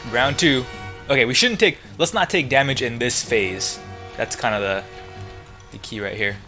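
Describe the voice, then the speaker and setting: A man's voice: medium pitch, normal speed. A man doing casual, sweary commentary while gaming, exclaiming and muttering frustrated asides. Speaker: let's play gamer. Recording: loud game music behind voice. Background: loud video game music.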